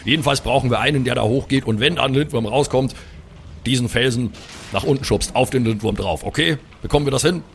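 A man's voice: medium pitch, fast talker, creaky, slight background noise. A man, probably in his thirties, improvising as a bossy, slangy player in a tabletop role-playing game. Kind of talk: improvised in-character tabletop play and banter.

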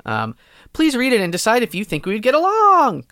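Male comedy podcast co-host, playful and giggly, very animated pitch, dry close sound. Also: normal speed, smooth, dry recording. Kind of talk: casual comedic chat, reading posts aloud.

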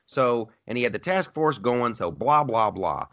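A man co-hosting a podcast, in animated political chat, often repeating himself and mocking others in put-on voices. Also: medium pitch, unhurried, lo-fi sound. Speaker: podcast co-host.

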